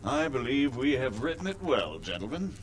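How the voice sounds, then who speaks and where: An actor playing a courteous medieval nobleman in a game cutscene, speaking formally and deliberately. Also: mid-range voice, big pitch swings, a little hiss or hum, natural voice, low-quality recording. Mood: composed. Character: measured, courteous medieval nobleman plotting politics. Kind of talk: video game cutscene acting.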